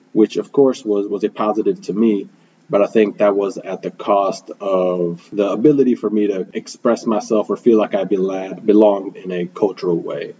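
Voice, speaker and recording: A young man from Milwaukee, a native Spanish speaker, talks earnestly in a lowish, mellow voice. He reflects as he goes, with plenty of ums and little "right?" check-ins. The recording is a bit muffled, with a faint hum underneath. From Milwaukee, Wisconsin, USA. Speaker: guest, school program manager. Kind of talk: conversational interview answers.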